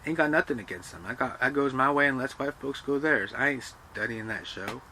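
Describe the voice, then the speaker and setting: A man's voice: mid-range voice, fairly quick, bright, forceful tone, a little hiss or hum, voice pushed up, natural voice. A man voicing a tired servant who grumbles in Southern dialect. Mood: grumbling.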